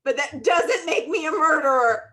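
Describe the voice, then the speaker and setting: Lady reading a defensive army colonel with a shady past, highish voice, comedy table read. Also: expressive, normal speed, a bit bright. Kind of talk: live table read of a comedy film script.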